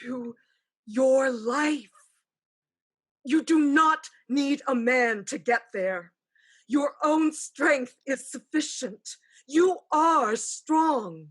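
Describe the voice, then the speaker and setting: Woman playing a slow-speaking, knowing elder ancestral spirit. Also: high-pitched, a bit bright, husky, full character voice. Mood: firm counsel. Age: older. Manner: deliberate, knowing, counselling. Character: ancestral spirit aunt, elder woman, wise, teasing, ruthlessly pragmatic. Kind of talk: staged play reading, dramatic and measured.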